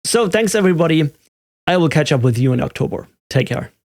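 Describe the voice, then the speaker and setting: A man in his thirties speaking English with a German accent, his voice sitting a little higher than most men's. He talks candidly and thoughtfully, pausing on "uh" and "um" and restarting his sentences as he searches for words. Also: lively intonation. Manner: reflective, with fillers and restarts. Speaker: tech YouTuber. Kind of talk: candid personal vlog.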